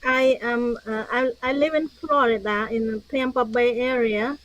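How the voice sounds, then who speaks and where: A woman's voice: on the high side, steady tone, unhurried, a bit bright, highs cut off. An older woman from Cambodia with a Cambodian accent, answering conversationally as an interview guest. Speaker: podcast guest, author and speaker.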